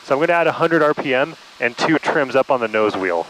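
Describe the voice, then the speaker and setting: Male flight instructor, mid-pitched, easygoing and instructive, on a headset with light engine noise behind. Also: expressive, fast, a bit bright, low-quality recording. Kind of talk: hands-on instruction, casual cockpit talk. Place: inside small aircraft in flight. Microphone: aviation headset. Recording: cockpit intercom with engine drone.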